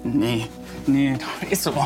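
An actor playing a confused, dependent adult son, speaking in a halting, muddled way. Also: male voice, mid-range voice, over music, close to an everyday voice.

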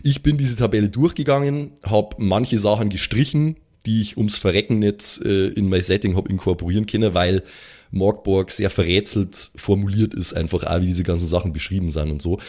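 A man's voice: on the low side, fairly even intonation, a little fast, a little mellow. A man with an Austrian accent talking casually and reflectively as a game master, dropping English gamer slang into his German. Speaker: tabletop game master and podcast regular.

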